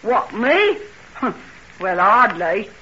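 An actress playing a guarded, bitter young working-class housekeeper with a brusque, slangy in old radio drama style. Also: female voice, mid-range voice, big pitch swings, extremely slow, pushed and bright, full character voice. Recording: muffled noisy old radio recording.

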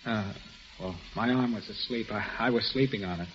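A man's voice: fairly low pitch, normal speed, a bit bright, slight background noise, natural voice. An actor playing a devoted, lovesick man in a 1940s radio drama, narrating in an earnest, reflective first person. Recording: dull old radio recording with music.

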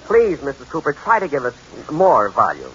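An actor playing a showy radio contest announcer in an old-time comedy, with hearty, formal showmanship. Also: male voice, mid-range voice, huge pitch swings, moderate pace, fairly bright tone. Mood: insistent. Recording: muffled, noisy old radio recording.

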